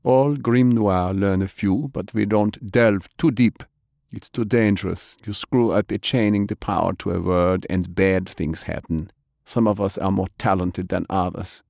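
Man playing a measured, low-voiced, wary magic knight. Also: creaky, a little mellow, no room sound, clean studio sound. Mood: cautioning.

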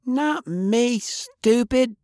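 A man's voice: extremely high pitch, lively intonation, very slow, a little mellow, dry recording, clean studio sound, voice pushed up, exaggerated voice. A man voicing a brash, feisty young woman fighter, defiant and taunting, in an audiobook. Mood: shrieking, defiant. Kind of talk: audiobook character acting.

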